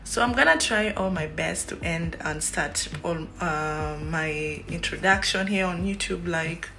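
A young woman with a Kenyan accent vlogs to a phone at arm's length in a small room. Her voice sits fairly low, and she chats loosely with plenty of fillers and restarts. Faint music plays behind her. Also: a little slow, gravelly. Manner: chatty, rambling, lots of fillers and animated hand gestures. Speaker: YouTuber. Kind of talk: casual vlog chat to camera.

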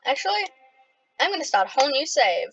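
A woman with an Australian accent, chatting casually as she plays a computer game, with lots of ums and sudden excited outbursts. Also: sits high, quick pace, soft background music, poor audio. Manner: rambling, many ums, bursts of playful excitement. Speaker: gaming vlogger.

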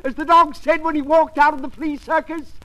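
Cheery, pompous male gag-teller for radio comedy; shrill, forceful, milks every punchline. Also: steady tone. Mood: jaunty, pompous. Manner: pompous, jaunty, drawn-out punchlines. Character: pompous, cheery male music-hall comedian with corny jokes. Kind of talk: 1940s radio comedy acting.

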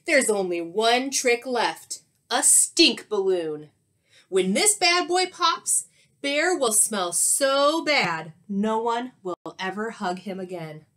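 A woman's voice: fairly high pitch, lively intonation, slow pace, fairly bright tone. A woman voicing a grumpy, scheming male skunk in a children's storybook, with a huffy, growling, exclamatory manner. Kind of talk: children's storybook read-aloud.

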